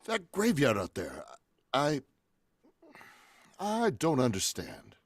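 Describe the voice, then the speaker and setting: A man's voice: mid-range voice, wildly swooping pitch, slow, dry recording, clean studio sound, heavily acted. A man playing an uneasy real estate agent, acted in the manner of a 1940s radio horror drama. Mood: uneasy, baffled.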